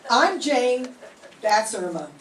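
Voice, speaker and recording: A woman's voice: fairly high pitch, big pitch swings, takes it slowly, recorded from a distance. An older woman playing a sarcastic sensible girl. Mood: wry. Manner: dry, sarcastic. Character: wry sensible young woman roommate Jane. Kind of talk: 1940s radio play reading. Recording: roomy hall, heard from afar.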